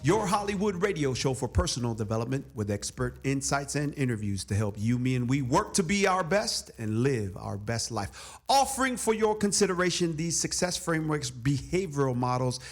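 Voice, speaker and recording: A man's voice: medium pitch, big pitch swings, normal speed, soft background music. A middle-aged man hosting a radio interview show from a studio, reading an emphatic scripted introduction and asking questions. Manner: upbeat, emphatic announcer delivery. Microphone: studio microphone with headphones.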